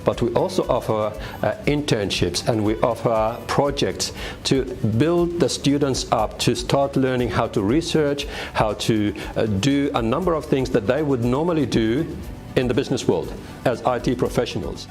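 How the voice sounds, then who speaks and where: Mid-pitched man talking over soft music. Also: normal speed. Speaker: training institute staff member. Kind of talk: promotional interview. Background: background music.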